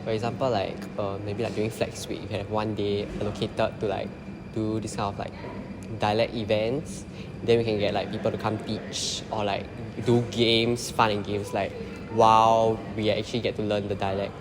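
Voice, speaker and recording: A man's voice: on the low side, level tone, normal speed, background noise. A young Singaporean man, a design student, answering interview questions casually with lots of fillers. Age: young adult. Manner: rambling, many fillers. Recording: echoey hall with crowd behind.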